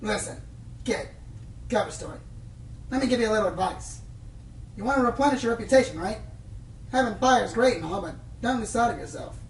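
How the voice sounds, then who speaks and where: A woman playing a cynical, worldly-wise pony news reporter in a radio play, brisk and condescending. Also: a little high, lively intonation, a little hiss or hum. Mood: advising. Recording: roomy, off-mic, faint music behind.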